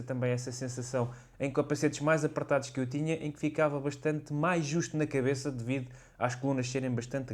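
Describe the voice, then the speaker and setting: A man's voice: a little high, a little mellow. A man with a European Portuguese accent talks casually and explains things conversationally, as a motorcycle vlogger reviewing gear to camera.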